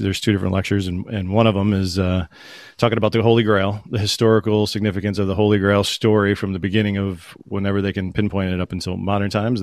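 Chill rambling dude, lowish voice, dry close sound, lots of ums and likes. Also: male voice, no room sound. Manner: laid-back, rambling, lots of fillers and slang. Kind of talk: casual chat.

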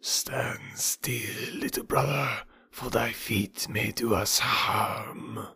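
A man voicing a group of cobras in low, slow, hissing whispers. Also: vocal fry, bright, forceful tone, breathy edge, exaggerated voice. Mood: hushed.

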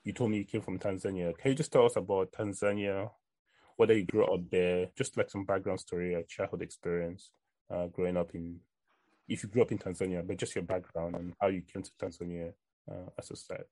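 A man's voice: low-pitched, steady tone, fairly quick, mellow, low-quality recording. A man hosting a podcast, asking his guest long rambling questions with hesitations. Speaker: podcast host. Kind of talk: casual interview.

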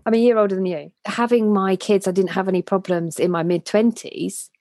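A woman's voice: medium pitch, steady tone, brisk, a little mellow, no echo, clean studio sound. A woman in her thirties co-hosting a podcast, talking in a casual, heartfelt and reflective way that is full of restarts. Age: 30s. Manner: reflective, many restarts and trailing phrases. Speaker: podcast co-host.

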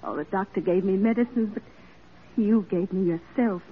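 An actress playing a gentle, grateful recovered woman, a humble seamstress, speaking tenderly in an old radio drama. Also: mid-range voice, normal speed, husky, narrow, tinny audio, close to an everyday voice. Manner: tender, simple, heartfelt. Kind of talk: classic American radio drama acting.

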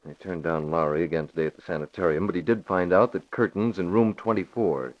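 An actor playing a masked crime-fighting young publisher in a 1940s radio drama, clipped and commanding. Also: male voice, low-pitched, a little fast, close to an everyday voice. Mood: determined. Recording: dull lo-fi old radio recording.

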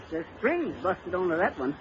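Give this to a bumbling, bragging husband from a vintage radio comedy. His pitch sits high and leaps about animatedly as he spins folksy yarns in mangled grammar. The old recording is muffled. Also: sing-song, measured pace, slight background noise, full character voice. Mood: chuckling, folksy. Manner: folksy, bungling, chuckling braggart. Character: folksy blustering husband telling tall tales, grammar-mangling bluffer.

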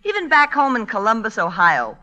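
A wry, worldly big sister telling her story in an old radio comedy. She is dry and sardonic, with a very sharp, bright voice and lively swings in pitch. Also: brisk, highs cut off, heavily acted. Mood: wry, introducing. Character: wry, worldly older sister, an aspiring writer. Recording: vintage radio broadcast recording.

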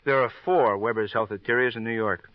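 An actor playing a chatty, plodding, good-natured police officer with a rambling, hesitant way of talking, in an old radio crime drama. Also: male voice, mid-range voice, fairly quick, no echo, lightly acted. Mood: plodding, earnest. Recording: muffled old radio recording.

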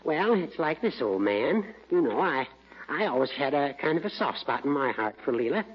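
An actor playing a sly, needling older judge with a coy, teasing manner in a 1940s radio comedy. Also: male voice, medium pitch, big pitch swings, normal speed, husky. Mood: coy, hesitant. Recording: muffled vintage 1940s radio recording.

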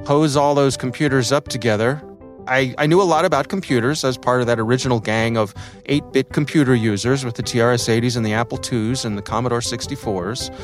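A middle-aged man with an American accent, a podcast host talking through his own story in a conversational, thoughtful way. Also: mid-range voice. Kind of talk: reflective personal storytelling for a podcast.

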